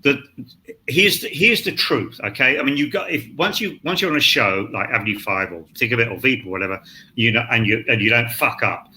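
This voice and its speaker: A man's voice: mid-range voice, animated, a little fast, pushed and bright. An older man talking casually on a writers' panel, blunt, emphatic and rambling, with some swearing. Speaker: scriptwriter on a panel. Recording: clear background noise, live online event.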